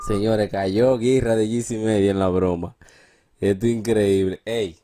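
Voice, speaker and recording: A man with a Dominican accent hosting a prank show, chatting casually in a slangy, repetitive, halting way. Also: on the low side, fairly even intonation, dark tone. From Dominican Republic. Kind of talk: casual prank phone call and chat. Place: small studio. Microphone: close desk microphone.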